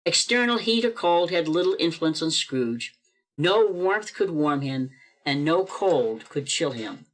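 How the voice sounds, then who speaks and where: A narrator telling a classic story for a radio play, with deliberate, emphatic and dramatic phrasing. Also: medium pitch, lively intonation. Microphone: video call microphone.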